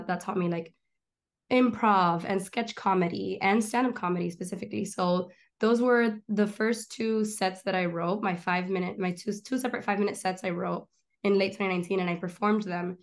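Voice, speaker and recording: Chatty young woman on a video call. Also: mid-range voice. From born in Miami, raised in Argentina. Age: 20s. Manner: animated, gestures a lot, rapid run-on storytelling. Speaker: guest, comedian and filmmaker. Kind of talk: casual video-call interview. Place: small room at home, indoors. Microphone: laptop webcam on a video call.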